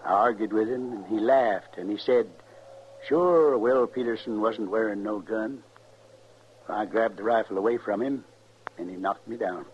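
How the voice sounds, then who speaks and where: A man's voice: lowish voice, a little hiss or hum, close to an everyday voice. Man acting heavy-hearted old western rancher, muffled radio sound. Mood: anguished. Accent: rural American West. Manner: folksy, halting, heavy-hearted. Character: grieving, worn older rancher father.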